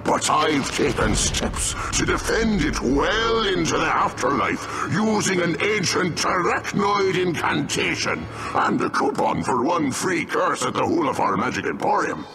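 A man's voice: fairly high pitch, big pitch swings, unhurried, hardly any pauses, over music, rough recording. A man playing a menacing, miserly pirate captain in a video game, narrating his log in a grandiose, theatrical pirate drawl. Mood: ominous, vengeful.

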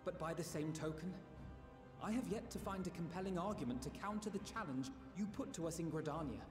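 A man's voice: a little high, lightly acted. Man playing an earnest young nobleman, echoing hall. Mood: earnest, resolute. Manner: formal, deliberate, sincere. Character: earnest, idealistic young nobleman, resolute and thoughtful. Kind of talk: video game cutscene acting. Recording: echoey hall, clear music behind.

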